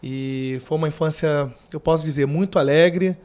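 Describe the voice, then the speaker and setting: Earnest thirtysomething Rio fire commander. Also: male voice, on the high side, a little mellow, smooth. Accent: Brazilian Portuguese from Rio de Janeiro. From Rio de Janeiro, Brazil. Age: 30s. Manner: earnest, measured, formal. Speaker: guest, fire brigade commander. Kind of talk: interview answers. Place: university TV studio.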